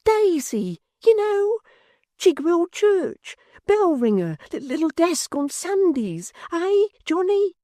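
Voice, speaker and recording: A woman's voice: very high-pitched, sing-song, slow pace, warm tone, no room sound, clean studio sound, pitched above the performer's own voice, heavily acted. A woman voicing a little, weepy, tender-hearted male church clerk who whimpers and pleads. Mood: coaxing.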